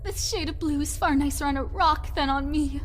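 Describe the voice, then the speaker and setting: Woman doing a very high, squeaky, excitable girl. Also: moderate pace, a little hiss or hum, lightly acted. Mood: whiny, defensive. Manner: whiny, dramatic. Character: squeaky, excitable, whiny cartoonish young girl adventurer. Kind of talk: audio drama acting. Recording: music under the voice.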